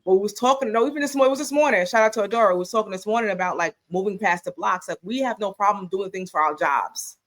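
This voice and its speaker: A woman's voice: medium pitch, quick pace, hardly any pauses. A woman co-hosting a podcast, chatting casually and playfully and laughing easily. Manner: playful, laughs easily, self-described messy. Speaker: podcast co-host. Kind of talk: casual chat.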